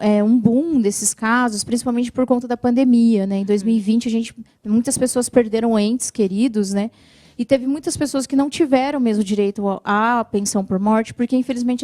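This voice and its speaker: A Brazilian woman answering viewers' questions about benefits in a TV interview, explaining patiently and clearly, often adding "né". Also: a little high, mellow, dry recording. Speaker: social security lawyer guest. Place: TV studio.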